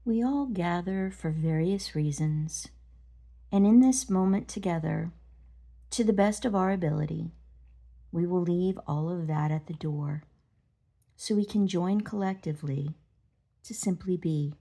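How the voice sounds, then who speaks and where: A woman leading a guided meditation, speaking gently and deliberately in short phrases with pauses between them. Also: fairly low pitch. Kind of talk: guided body scan meditation.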